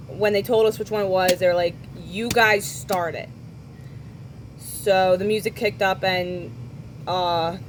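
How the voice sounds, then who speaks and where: A young woman with a hoarse voice from singing at a concert, hosting a podcast and talking casually with quick asides and self-corrections. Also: medium pitch, slight background noise. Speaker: podcast host. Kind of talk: casual chat recounting a concert.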